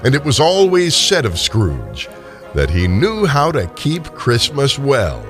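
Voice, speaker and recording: A man's voice: medium pitch, wildly swooping pitch, slow, faint music behind. An older man narrating a classic tale as a dramatic storyteller.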